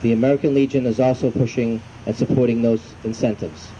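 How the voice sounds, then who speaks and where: A man delivers a formal, solemn address at an outdoor ceremony. His voice is very dark and soft-edged, of medium pitch. The recording is dull, with faint ambient noise behind him. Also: steady tone. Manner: solemn, measured reading. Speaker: veterans' group commander. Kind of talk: formal prepared ceremonial speech. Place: outdoor evening ceremony in a park. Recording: muffled, faint outdoor hum and traffic noise.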